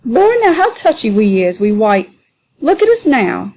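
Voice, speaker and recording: A woman's voice: on the high side, big pitch swings, a little slow, darkish tone, exaggerated voice, poor audio. A woman voicing a boisterous, teasing grown man with a drawling, mocking manner in a novel read aloud. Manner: mocking, drawling, broad dialect.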